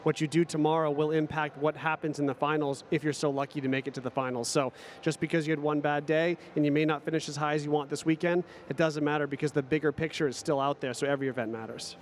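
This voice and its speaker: Quick-talking man on a sports panel. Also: fairly high pitch, level tone. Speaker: sports analyst. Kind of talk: broadcast panel commentary. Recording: faint background venue noise.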